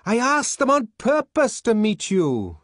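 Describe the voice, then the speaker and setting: A man voicing an anxious pleading man who shouts and wails through a locked door, in an audiobook. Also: extremely high pitch, wildly swooping pitch, measured pace, no room sound, clean studio sound, pitched above the performer's own voice, exaggerated voice. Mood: pleading, wailing. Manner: wheedling, wailing at the top of his voice. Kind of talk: audiobook character voice.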